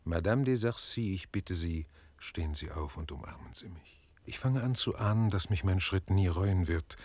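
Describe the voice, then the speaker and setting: An actor playing an earnest, tender-hearted French marquis in a German radio play, husky and courtly. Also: male voice, sits low, animated, normal speed, a little mellow, no room sound. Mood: tender, forgiving. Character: lovesick French marquis, tender, despairing and forgiving nobleman.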